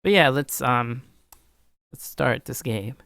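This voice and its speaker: A man's voice: medium pitch, lively intonation, takes it slowly, gravelly, bright, forceful tone, smooth, no room sound, clean studio sound. A man in a casual let's play style, reading a visual novel aloud informally and stumbling now and then. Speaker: gaming streamer.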